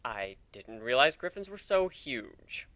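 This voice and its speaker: Pegasus husband played by a male reader in a story reading: dry, teasing, devoted, mid-pitched, close dry sound. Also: big pitch swings, measured pace, bright, forceful tone, dry recording. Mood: flat, surprised. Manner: dry, matter-of-fact.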